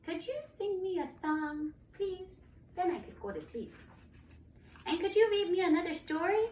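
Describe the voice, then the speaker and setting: An eager little dragon from a bedtime storybook, acted out by a woman, pleading in a very high, childlike voice. The sound is roomy and a bit distant from the mic. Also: expressive, moderate pace, a little mellow. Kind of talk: children's storybook read-aloud.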